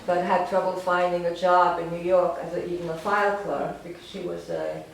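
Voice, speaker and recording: An older woman, German her first language and raised in Romania, reminisces in a loose, halting way, full of fillers and dry jokes at her own expense. She is heard from some distance in a very echoey gallery. Steady room noise sits under her voice. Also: fairly low pitch, creaky. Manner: rambling, halting, with many fillers and wry self-deprecating laughs. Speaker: artist giving a gallery talk. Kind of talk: informal autobiographical talk.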